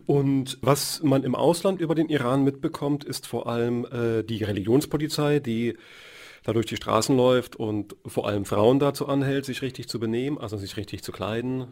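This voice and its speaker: A man hosting a radio interview and asking measured questions. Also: mid-range voice, a little mellow.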